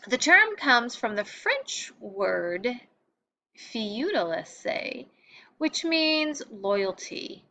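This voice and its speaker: A woman teacher reading a textbook lesson aloud in an expository way, pausing to stress key terms. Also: fairly high pitch, big pitch swings, bright, forceful tone. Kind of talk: read-aloud lesson narration. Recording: dull lo-fi audio with clear background noise.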